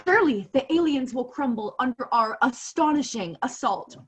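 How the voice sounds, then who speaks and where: A woman's voice: sits high, lively intonation, moderate pace, a little hiss or hum. A teenage woman playing a grandiose radio war reporter in a radio play, declaiming theatrically. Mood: awed. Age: late teens. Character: melodramatic female radio war reporter, grandiose then panicked. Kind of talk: 1930s radio play acting. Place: bedroom on a video call.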